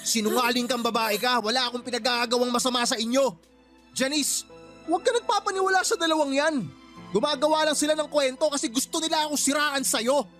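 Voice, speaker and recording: An actor playing a lying, bullying live-in boyfriend in a Filipino radio drama, loud and accusatory. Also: male voice, extremely high pitch, a little fast, faint music behind. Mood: angry, defensive.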